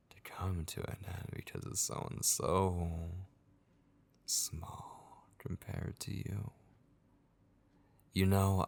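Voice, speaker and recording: Man playing a low, husky, possessive tormentor. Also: heavily acted. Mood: grave, persuasive, then flattering, possessive. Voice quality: husky, gravelly, often dropping to a whisper. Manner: slow, coaxing, mockingly tender. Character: sinister, possessive, taunting male voice haunting a captive vampire. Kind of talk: ASMR horror roleplay, intimate dramatic monologue.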